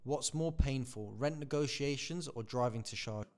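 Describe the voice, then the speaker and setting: Guy firing off quick questions, mid voice, matter of fact. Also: mid-range voice, crowd noise. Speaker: question asker. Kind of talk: reading quick-fire questions.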